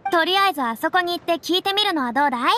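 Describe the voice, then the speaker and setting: A woman's voice: very high-pitched, lively intonation, very fast, pushed and bright, heavily acted. A woman playing a boyish, theatrical young noblewoman adventurer in a game, with earnest phrasing. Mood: thoughtful, confident. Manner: boyish phrasing, earnest, slightly self-conscious. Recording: music under the voice.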